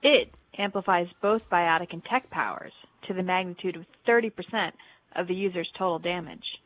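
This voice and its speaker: A woman's voice: on the low side, normal speed, fairly bright tone, close to an everyday voice, rough recording. A woman playing an earnest, plucky young female alien in a sci-fi game audio drama, a little unsure of herself. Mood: frustrated, earnest. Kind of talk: fan audio drama, game-story character acting.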